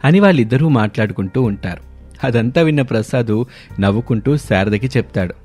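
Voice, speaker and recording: A man's voice: medium pitch, animated, fairly quick, a little mellow. A man reading a scripted children's story as its narrator. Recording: music under the voice. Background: background music.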